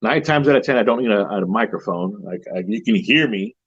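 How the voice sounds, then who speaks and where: A man with a deep voice, a horror-themed wedding officiant, chatting casually and confidently as an interview guest, with frequent restarts. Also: expressive, fairly quick, breathy edge, no room sound, lo-fi sound. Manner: rambling, self-assured, many restarts.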